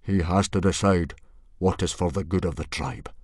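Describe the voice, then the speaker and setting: A man voicing a grim middle-aged Viking chief who makes solemn pronouncements in a booming voice. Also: normal speed, a little mellow, dry recording, studio quality. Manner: bellowing, grim and formal.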